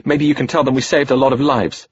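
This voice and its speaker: A man's voice: medium pitch, fairly even intonation, fast talker, dull audio, a little hiss or hum, voice pushed up, lightly acted. A man voicing a worn-out, shaken teenage boy, in a plain subdued voice. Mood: weary.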